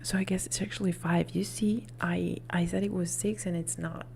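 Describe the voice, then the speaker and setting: A woman, a native Italian speaker, chatting in a soft whisper on an ASMR live stream, rambling and correcting herself as she goes. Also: lowish voice, fairly even intonation, moderate pace, creaky, a little hiss or hum. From Italy.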